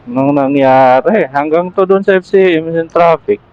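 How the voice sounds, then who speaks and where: Casting: male moto vlogger, higher-set voice, slow casual chat, muffled helmet intercom recording. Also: clear, resonant tone, no room sound. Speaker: motorcycle vlogger. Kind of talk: casual riding vlog chat. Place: outdoors, riding a motorcycle in traffic. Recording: dull helmet intercom mic on motorbike.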